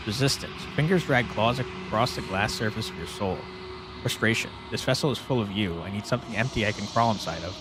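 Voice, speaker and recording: A man hosting a gameplay video, casually musing aloud and reading on-screen text. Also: on the low side. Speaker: gaming video host. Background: game music.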